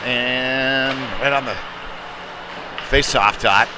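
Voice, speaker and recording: A man's voice: mid-range voice, extremely slow. A man doing live ice hockey play-by-play in a conversational manner that lifts on the action. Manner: conversational, rising to excited calls on big plays. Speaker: former goaltender. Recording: clear arena noise behind the voice.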